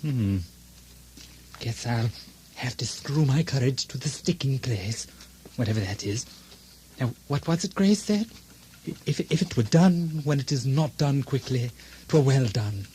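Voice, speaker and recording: A man's voice: medium pitch, animated, a little slow, long pauses, mellow, natural voice. A man acting a bumbling, easily led Scottish thane in a comic radio drama, hammy and dithering, with muttered asides. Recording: hissy, noisy vintage radio drama recording.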